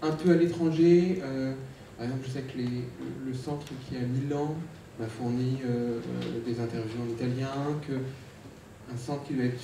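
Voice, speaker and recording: A man's voice: mid-range voice, fairly even intonation, normal speed, dark tone, slight background noise. A man speaking on a conference panel, hesitant, with many fillers and restarts, recorded in a large lecture hall. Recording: echoey hall, speaker far from mic.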